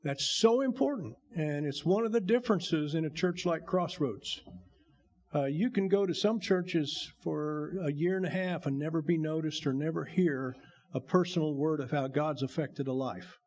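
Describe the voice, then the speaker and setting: An older man with a gravelly voice, a bishop giving a folksy, joking testimony to his church. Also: fairly high pitch, moderate pace, darkish tone. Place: church sanctuary.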